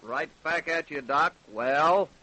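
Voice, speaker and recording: A man playing a shrewd small-town sheriff in an old radio crime drama, folksy, deliberate and probing. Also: fairly high pitch, bright, forceful tone. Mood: accusing.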